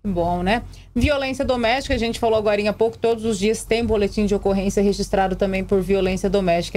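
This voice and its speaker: A woman's voice: mid-range voice, darkish tone. A Brazilian woman in her thirties asking questions as a live news interviewer in a studio. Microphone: desk gooseneck microphone.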